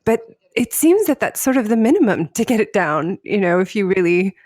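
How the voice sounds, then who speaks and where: A woman's voice: mid-range voice, big pitch swings, brisk, darkish tone, dry recording, clean studio sound. A woman hosting a podcast, asking thoughtful, musing questions in a conversational interview. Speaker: podcast host.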